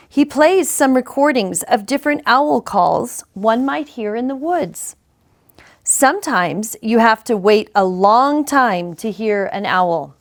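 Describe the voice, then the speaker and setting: A woman reading a children's picture book aloud in a deliberate, measured way with dramatic pauses. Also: fairly high pitch. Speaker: story reader, school superintendent.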